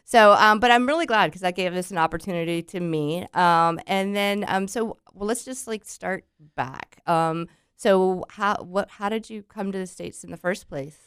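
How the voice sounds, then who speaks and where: A woman hosts a local radio talk show from the studio, chatty and upbeat, with frequent ums and restarts. Her voice is clear and smooth. The sound is dry and close to the mic. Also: medium pitch, moderate pace, hardly any pauses, a bit bright, dry recording. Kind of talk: casual radio interview. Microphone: studio microphone.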